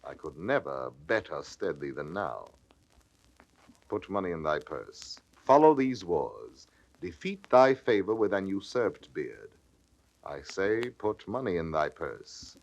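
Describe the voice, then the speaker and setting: An actor playing a cynical, scheming young soldier villain in a classical audio drama, mocking and persuasive in a declamatory stage manner. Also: male voice, low voice, very animated, slow pace, creaky, lo-fi sound, slight background noise, full character voice. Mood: coaxing, urging. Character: scheming cynical soldier, male villain, aged twenty-eight. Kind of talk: classical radio-play stage acting.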